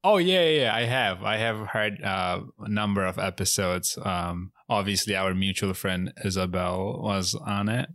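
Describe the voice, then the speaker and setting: Upbeat comedian guest from Bangladesh, low-ish voice, giggly and rambling, dry close sound. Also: male voice, a little slow, hardly any pauses, fairly bright tone, no room sound. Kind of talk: casual podcast chat over a call. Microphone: remote call.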